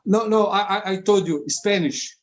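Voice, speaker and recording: A man with a Brazilian Portuguese accent speaking English as a second language, halting and repeating words with many fillers in a casual interview. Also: high voice. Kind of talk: casual conversation.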